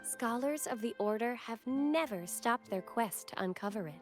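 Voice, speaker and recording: A woman plays a young temple acolyte from a video game, high-pitched and gentle. She speaks with courteous, devout formality and crisp, pleasant enunciation, like a guide telling sacred lore. Music plays behind her. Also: animated, natural voice. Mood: solemn, reverent. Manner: formal, measured storytelling. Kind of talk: scripted game dialogue and legend narration. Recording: background music under voice.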